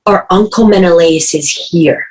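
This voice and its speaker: An actress playing an anxious, devoted young sister nursing her sick brother, gentle and pleading. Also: lowish voice, unhurried, close to an everyday voice, low-quality recording. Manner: gentle and pleading, urgent when alarmed. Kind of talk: stage play reading.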